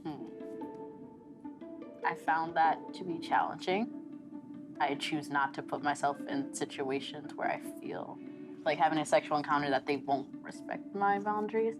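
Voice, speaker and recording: A woman student answering thoughtfully and in a measured way. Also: low-pitched, over music.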